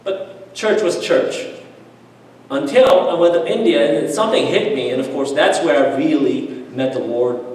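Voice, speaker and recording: A man tells a personal story at a church seminar, speaking with lively restarts and filler words. His voice carries a long, booming reverb that fills the room. He sounds distant from the microphone, with faint room ambience behind him. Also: moderate pace. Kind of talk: personal testimony talk. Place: church hall. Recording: echoey hall, far from the mic.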